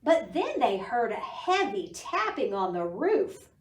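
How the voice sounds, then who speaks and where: A woman's voice: on the high side, big pitch swings, takes it slowly, far from the mic. A middle-aged woman reading a picture book expressively to young children at a storytime. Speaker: library storytime reader. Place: indoor library room. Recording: roomy, voice away from microphone.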